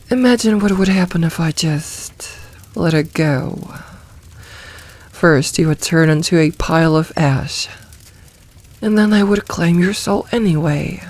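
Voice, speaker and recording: A woman voicing a possessive, teasing, dominant demoness in a soft, intimate ASMR roleplay, commanding and deliberate. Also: fairly low pitch. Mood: threatening, coaxing.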